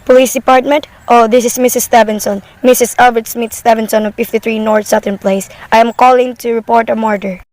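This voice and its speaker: A high-voiced, anxious invalid wife played by a woman in an amateur radio play. She is fretful and demanding, pressing her case insistently and at length. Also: steady tone, fairly quick, few pauses, darkish tone, natural voice. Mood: agitated, urgent. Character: anxious, demanding invalid wife reporting an overheard murder plot.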